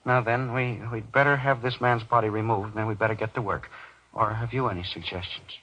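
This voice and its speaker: An actor playing a menacing, power-drunk little man in radio horror, raspy and falsely polite, taunting with tag questions. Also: on the low side, fairly even intonation, fairly quick, bright, forceful tone, lo-fi sound. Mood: gloating. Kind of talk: golden age radio horror acting. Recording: old radio drama sound.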